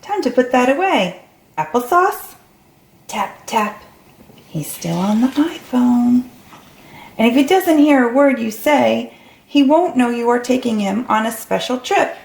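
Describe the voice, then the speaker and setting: High-voiced woman reading a children's story. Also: lively intonation, measured pace, vocal fry, a little mellow. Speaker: storybook reader. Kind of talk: read-aloud story for young children. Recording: roomy, echoey recording.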